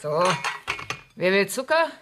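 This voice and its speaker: An actress playing a defensive, chatty mother in a German radio crime drama, homely and quick to take offence. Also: mid-range voice, very animated, noisy background. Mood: chatty, softened. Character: defensive, chatty working-class mother, easily flattered.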